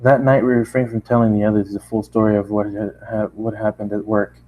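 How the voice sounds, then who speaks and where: A man's voice: lowish voice, little pitch movement, fairly quick, highs cut off, slight background noise. A tired man reading a story aloud as an amateur narrator, often stumbling and rereading phrases. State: tired, running out of steam. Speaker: amateur fan-fiction reader.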